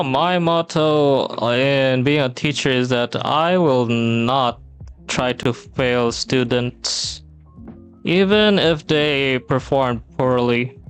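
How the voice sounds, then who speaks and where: A young man from the Philippines chatting casually on a drawing stream, relaxed and rambling with many pauses and fillers. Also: medium pitch, smooth. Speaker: streamer and teacher. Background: soft background music.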